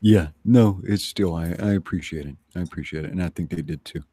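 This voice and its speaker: A low-voiced man with a husky, dark tone chats casually as a guest on a stream. He speaks haltingly, rephrasing as he goes, and the sound is close and dry. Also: dry recording. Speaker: guest on a livestream, voice reader. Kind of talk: casual chat.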